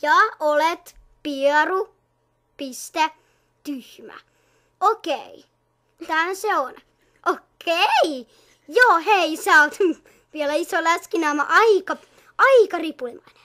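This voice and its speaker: Amateur cartoon dub: woman as young girl, very high and bright, blunt, childish, teasing. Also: expressive, pauses often, full character voice. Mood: mocking. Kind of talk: amateur Finnish cartoon fandub.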